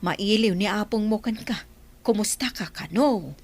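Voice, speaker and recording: A woman's voice: mid-range voice, unhurried. A woman playing a kindly older village aunt who carries messages in a dramatised story.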